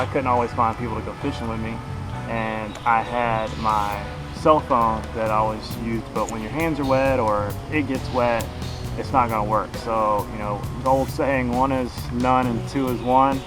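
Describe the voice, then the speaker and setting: A man in his thirties with a mid-pitched voice talks through a gear review in a loose, folksy way. He is outdoors in a yard, recorded by a camera a short distance away, with music in the recording. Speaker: kayak fishing vlogger, product reviewer. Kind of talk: casual unscripted product review to camera. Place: outdoors in a grassy backyard beside a shed. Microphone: camera a couple of metres away.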